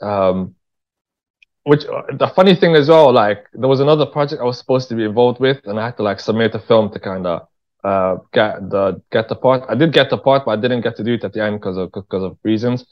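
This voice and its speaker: A man's voice: lowish voice, fairly quick. A British man telling stories as an interview guest in a casual, candid, slangy way. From England. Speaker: interview guest, writer-director. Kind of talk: casual conversational storytelling. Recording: dull, lo-fi voice recording.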